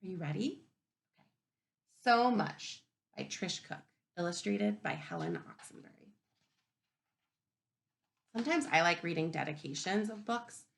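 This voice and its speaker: A woman teacher reading a picture book aloud to young children in an expressive, instructive way, pausing to ask questions. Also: fairly low pitch, gravelly, a little hiss or hum. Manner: animated, instructive, asking the listeners questions.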